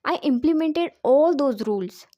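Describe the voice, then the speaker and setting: A woman's voice: high voice, expressive, a little slow, no room sound, muffled recording. A woman who speaks English as a second language, giving an informal lesson from personal experience and repeating points for emphasis. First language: not English. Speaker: English teacher giving advice.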